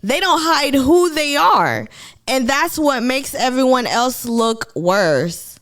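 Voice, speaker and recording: A woman's voice: high voice, big pitch swings, takes it slowly, fairly bright tone. A woman from Kenya with a Kenyan accent, chatting casually to viewers on a live stream about a TV show. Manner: chatty, addresses viewers as y'all.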